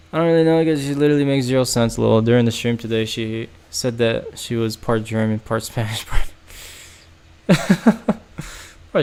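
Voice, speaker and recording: A young man talks loosely on a home livestream, stringing thoughts together with lots of "like" and "bro" and breaking into laughs. His voice sits in a middle pitch with a gravelly, creaky edge. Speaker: streamer. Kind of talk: casual livestream chat reacting to chat.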